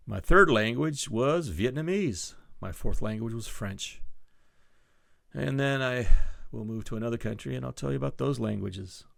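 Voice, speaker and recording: A man in his sixties with a low, gravelly voice rambles through stories from his past. He drifts between thoughts and corrects himself mid-sentence as he goes. The sound is close and dry, with no room around it. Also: a bit bright, dry recording. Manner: rambling, digressive, self-correcting. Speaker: podcast host. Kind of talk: casual storytelling monologue.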